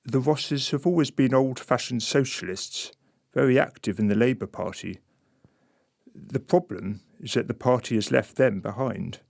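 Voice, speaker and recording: A man narrating a scripted radio play in a deliberate, detached manner. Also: medium pitch, creaky, darkish tone, no room sound, studio quality. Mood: measured, detached. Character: measured, observing narrator introducing a married couple.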